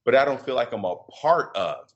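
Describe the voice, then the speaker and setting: A middle-aged man from Chicago with a deep voice, a podcast guest talking in a reflective, halting way with many fillers and restarts. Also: big pitch swings, highs cut off. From Chicago, USA. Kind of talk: casual interview conversation.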